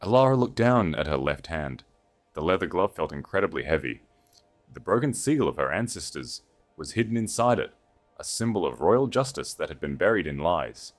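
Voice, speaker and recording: A man's voice: low voice, big pitch swings, normal speed, faint music behind. A man reading a scripted romance audiobook in a solemn, dramatic way. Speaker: narrator.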